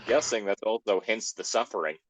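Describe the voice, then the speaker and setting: A man's voice: fairly low pitch, brisk, a bit bright. A man from Texas co-hosting a casual story reading, joking, teasing and swearing. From Texas, United States. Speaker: co-host reacting to a story.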